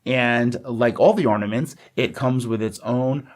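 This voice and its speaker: A man's voice: medium pitch, a little slow. A man co-hosting a podcast in casual conversation, explaining things in a chatty, agreeable way. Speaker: podcast co-host. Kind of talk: casual scripted-outline podcast chat.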